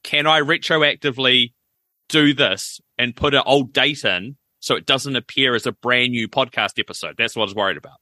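A man co-hosts a podcast, chatty and wry. His voice is sharp and very bright, and the sound is dry and close. He tends to explain things at length. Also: medium pitch, moderate pace, dry recording. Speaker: podcast co-host. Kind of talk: casual chat.

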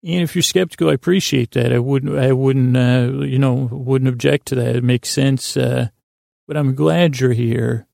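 Creaky, flat-toned man rambling softly. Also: no echo, studio quality. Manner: rambling, halting, self-correcting; soothing, lulling, low-key. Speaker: sleep podcast host. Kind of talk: meandering bedtime monologue.

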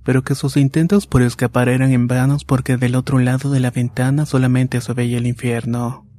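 A man narrating horror stories from a script in a calm, even reading. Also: mid-range voice, brisk.

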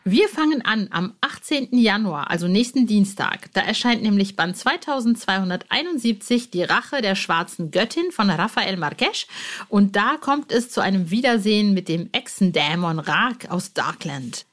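A woman with a medium-pitched voice hosts a podcast. She is informal and effusive, chatting to listeners and making casual announcements. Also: animated, moderate pace, a bit bright. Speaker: podcast host.

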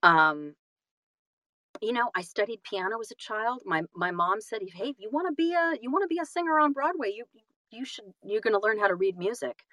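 A woman's voice: fairly high pitch, lively intonation, sharp tone, no room sound. A woman chatting casually as an interview guest, effusive and often stopping to correct herself mid-sentence. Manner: effusive, halting and self-correcting. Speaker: interview guest, singer and audiobook narrator.

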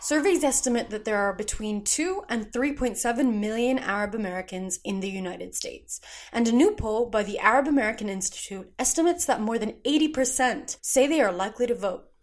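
A woman hosting a news podcast, reading scripted narration in a measured, even way. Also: a little high.

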